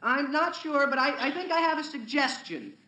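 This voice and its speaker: A man's voice: extremely high pitch, big pitch swings, normal speed, pushed and bright, exaggerated voice. Awkward teenage boy, earnest, hesitant, old-time radio comedy, roomy recording, faint hiss. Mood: hesitant, persuasive. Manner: stammering, hesitant. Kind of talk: 1940s radio comedy acting.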